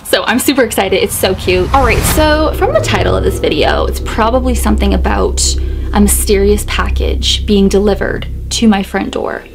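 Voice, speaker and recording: A young woman in her twenties vlogging a chatty unboxing in a small bedroom, excitable and a bit hoarse as her voice fades. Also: mid-range voice, moderate pace, music in the background. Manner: excitable, chatty, gasps and laughs a lot. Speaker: YouTuber. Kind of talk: casual unboxing vlog. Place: small bedroom decorated with dolls.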